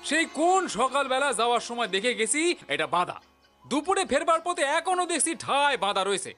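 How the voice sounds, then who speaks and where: Haughty, high-pitched Bengali priest, scolding. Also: male voice, sing-song, sharp tone, soft background music, heavily acted. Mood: angry scolding. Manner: blustering, sarcastic, drawn-out calls and exclamations. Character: haughty, scolding Brahmin priest, bullying and mocking villager. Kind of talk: audio story drama acting.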